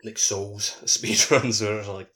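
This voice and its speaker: A man with a low-pitched voice chats as a podcast co-host about video games. He rambles in loose, casual sentences, padding them heavily with "like". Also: level tone, slow, bright, forceful tone. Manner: rambling, heavy use of "like". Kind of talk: casual gaming podcast chat.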